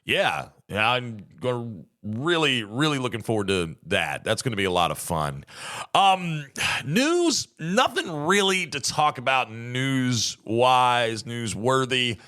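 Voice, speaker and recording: An American man with a slightly hoarse voice, hosting a podcast in a chatty, rambling, folksy monologue. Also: mid-range voice, big pitch swings, slow, bright, forceful tone. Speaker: podcast host. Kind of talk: casual solo monologue, podcast intro.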